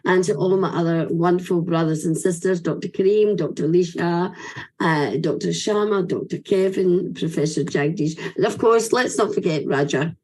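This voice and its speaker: Low-pitched Scottish woman, earnest and effusive. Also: normal speed, a little mellow. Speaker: speaker giving a vote of thanks. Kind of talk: heartfelt ceremonial thanks speech.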